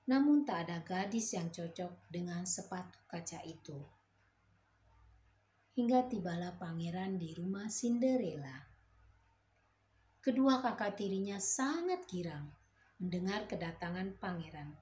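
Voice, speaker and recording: A woman gently and expressively narrating a fairy tale for children. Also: on the low side, lively intonation, a little slow, pauses often, echoey room. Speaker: children's storyteller. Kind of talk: bedtime story narration.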